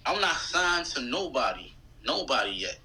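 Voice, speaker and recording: A man's voice: mid-range voice, big pitch swings, normal speed, pushed and bright, low-quality recording. A man from Philadelphia chatting casually and slangily as a podcast guest. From Philadelphia, United States. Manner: slangy, informal. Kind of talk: casual chat.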